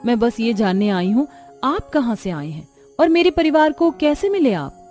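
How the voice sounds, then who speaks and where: A woman's voice: a little high, big pitch swings, normal speed, darkish tone, faint music behind. A woman voicing an earnest, worried young daughter-in-law in a cartoon family story. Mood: earnest, concerned. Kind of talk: cartoon voice acting.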